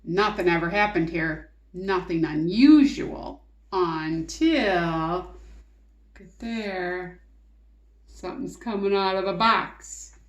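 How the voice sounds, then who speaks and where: A woman reading a picture book aloud to children in an expressive, coaxing way, in an echoey room. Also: fairly low pitch, takes it slowly. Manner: expressive, coaxing, asks the listener questions. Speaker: read-aloud teacher and storyteller. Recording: roomy echo.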